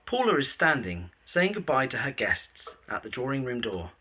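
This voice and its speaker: Very deep, husky woman narrator. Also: very animated, moderate pace, sharp tone. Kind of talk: scripted drama narration.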